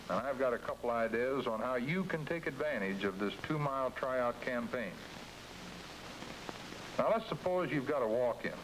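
A middle-aged American man coaching salesmen in a scripted, folksy 1950s training-film narration style. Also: medium pitch. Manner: folksy, direct second-person coaching. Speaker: company executive presenting a dealer training film. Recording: hissy 1950s film soundtrack.

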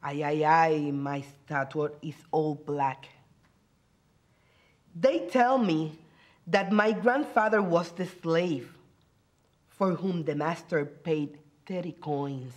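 A woman in her forties with a Puerto Rican accent and Spanish as her first language, reciting poetry dramatically and emphatically with deliberate pauses. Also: low-pitched, lo-fi sound. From Puerto Rico. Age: 40s. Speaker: bilingual special education teacher.

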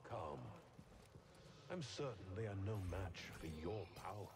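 A man's voice: on the low side, animated, measured pace, slight background noise. An actor playing a mysterious, self-assured male ally in a video game. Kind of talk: video game dialogue acting. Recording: quiet, game ambience behind.

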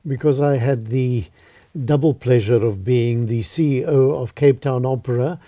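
An older man, a retired opera school director, answering in a studio interview with measured, formal phrasing. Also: mid-range voice, mellow.

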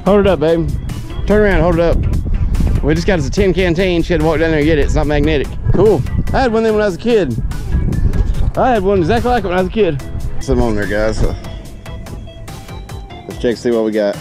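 A man's voice: a little high, lively intonation, fast, long pauses, over music. Folksy Southern US man, casual outdoor vlogging. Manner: folksy, short offhand remarks. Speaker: video host, hobby magnet fisher. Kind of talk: casual outdoor vlog commentary. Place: outdoors on a bridge over a river. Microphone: body-worn action camera.

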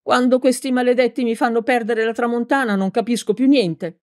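A woman's voice: on the high side, fairly even intonation, fairly quick, no room sound, studio quality, voice pushed up, lightly acted. A woman voicing a big, hot-tempered male village mayor in a storybook, blustering and clumsily formal with emphatic delivery. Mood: exasperated.

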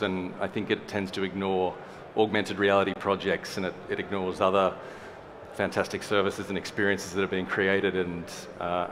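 A man in his forties, with a very low voice, speaks as a conference panelist through a headset mic. He is candid and a little wry. A faint hum runs beneath him. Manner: candid, wry, with a casual swear. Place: large conference hall stage.

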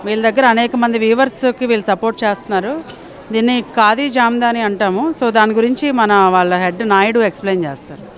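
A woman's voice: fairly high pitch, fairly quick. A middle-aged woman hosting an expo, introducing stalls and explaining products on a handheld mic in a large exhibition hall. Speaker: host. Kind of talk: on-the-spot expo interviews. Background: crowd chatter.

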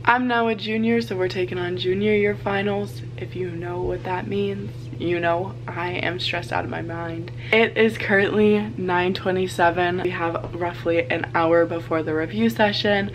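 A woman's voice: fairly low pitch, steady tone, moderate pace, few pauses, slight background noise, low-quality recording. A college student in her twenties, vlogging casually and upbeat to a handheld camera, chatting to her dog as she goes. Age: 20s. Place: dorm room, indoors. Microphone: camera held at arm's length.

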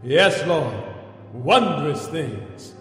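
A man's voice: fairly high pitch, big pitch swings, heavily acted. An actor playing a fervent, encouraging male pastor, preaching reverently in a radio drama. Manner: slow, declamatory, reverent. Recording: echoey church-like hall, music behind.

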